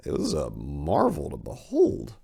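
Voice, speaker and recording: A man in his forties reads a horror tale aloud with theatrical flair, gesturing as he goes. His voice is very low and gravelly, and his pitch swings widely through the telling. It is recorded close on a lapel mic. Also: a little slow. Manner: expressive, theatrical storytelling with hand gestures. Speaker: reader. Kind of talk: dramatic reading of a horror story. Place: indoor study lined with bookshelves.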